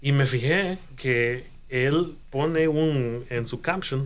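A man's voice: medium pitch, slow pace. A man from Honduras telling a story in casual conversation, often repeating words as he searches for them. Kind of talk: casual chat.

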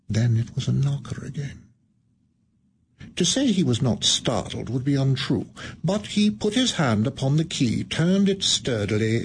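Measured male radio-play narrator. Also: mid-range voice, a little hiss or hum. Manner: measured, evocative, deliberate. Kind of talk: dramatic storytelling narration.

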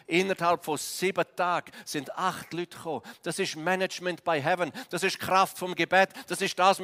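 Male preacher, somewhat high voice, long hall reverb, emphatic storyteller. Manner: storytelling, emphatic, drops to hushed tones at key points. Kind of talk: sermon. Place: church hall.

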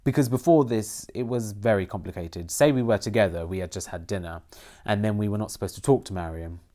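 A man's voice: lowish voice, fairly quick, warm tone. A man from Iran whose first language is Persian, answering interview questions earnestly about acting in a film. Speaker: film protagonist, interview guest.

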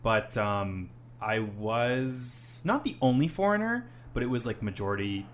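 A man's voice: medium pitch, expressive, a little slow, slight background noise. A young man hosting a casual chat, rambling with many "um"s and "you know"s. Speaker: host, English teacher.

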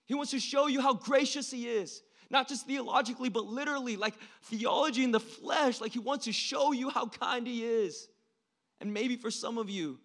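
A young man preaching a sermon in an informal, slangy way, swinging between hushed and impassioned delivery. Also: extremely high pitch. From born in South Korea. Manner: informal, youthful slang, frequent "like" and rhetorical questions.

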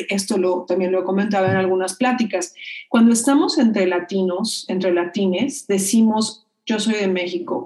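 A woman, explaining her ideas as a guest in a measured, thoughtful way. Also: mid-range voice, darkish tone. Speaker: guest expert. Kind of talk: explanatory conversation. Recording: roomy echo.

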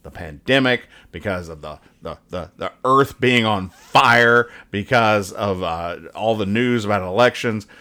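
Man, fairly low voice, casual podcast host, rambling, joking, mock-serious delivery. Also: a little slow, few pauses, bright, forceful tone. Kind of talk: casual banter, reading and describing comic strips.

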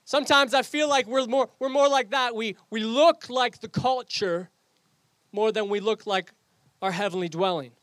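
High-voiced pastor preaching, Canadian accent. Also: male voice, normal speed, a bit bright, no room sound. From England. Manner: earnest preaching, repeats and restarts phrases. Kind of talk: sermon. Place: outdoor service.

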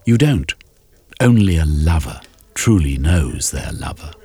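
A man voicing a vain, touchy gentleman at a club dinner, pompous and petulant. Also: very deep voice, very animated, a little slow, breathy edge, no room sound, exaggerated voice.

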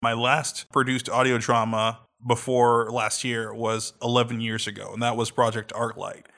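A man's voice: mid-range voice, little pitch movement, normal speed, fairly bright tone. A man in his thirties hosting a podcast, chatting casually and animatedly with frequent laughs and fillers. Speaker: podcast host. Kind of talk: casual chat.

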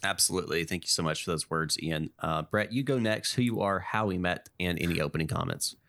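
Measured, low-voiced male podcast host with a cold. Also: a bit bright, no echo, studio quality. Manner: measured, deliberate delivery. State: has a cold, sniffly. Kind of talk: scripted podcast intro then hosting a discussion.

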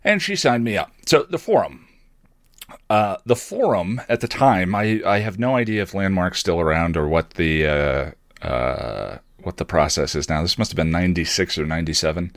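A middle-aged man telling a personal story as a podcast host, reflective and halting as he searches for words, with a wry streak. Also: deep voice, no echo, clean studio sound.

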